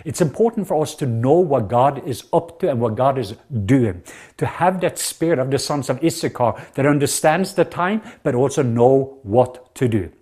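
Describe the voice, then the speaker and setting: A man's voice: medium pitch, animated, normal speed, mellow, slightly rough. Norwegian-accented man preaching earnestly. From southwest Norway. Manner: earnest, emphatic preaching with many fillers. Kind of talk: sermon.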